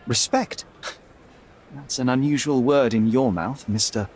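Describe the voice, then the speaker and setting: An actor playing a stern young detective in a video game, cold and righteous, speaking in a clipped, accusatory, sardonic manner. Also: male voice, medium pitch, a little slow, darkish tone, slight background noise, close to an everyday voice. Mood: curt, questioning. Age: young adult. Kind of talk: video game cutscene acting.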